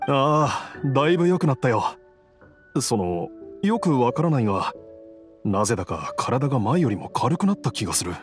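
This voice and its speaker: A man's voice: medium pitch, very animated, moderate pace, lightly acted. An actor playing a humble, grateful father in an anime game, weakened from illness and speaking hesitantly over music. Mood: relieved, puzzled. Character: grateful, humble ailing father of a grown-up household. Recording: music behind the voice.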